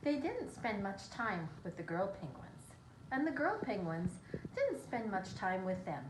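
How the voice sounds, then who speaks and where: In an echoey room with background noise, a woman reads a children's story. Her intonation is lively. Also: mid-range voice, measured pace. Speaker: school staff member reading aloud. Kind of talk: children's storybook read-aloud.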